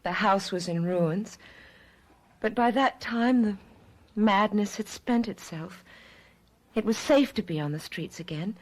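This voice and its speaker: An actress playing an earnest, world-weary immortal young woman in a radio drama, speaking in a deliberate, dramatic way. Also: medium pitch, natural voice, rough recording. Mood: wistful, recounting.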